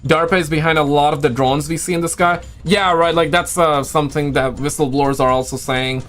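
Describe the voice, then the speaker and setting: Higher-pitched man chatting casually on a livestream. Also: steady tone, a little fast, fairly bright tone, faint music behind. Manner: slangy, rambling, repeats phrases. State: losing his voice. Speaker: livestream host and video creator. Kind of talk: casual livestream chat with viewers.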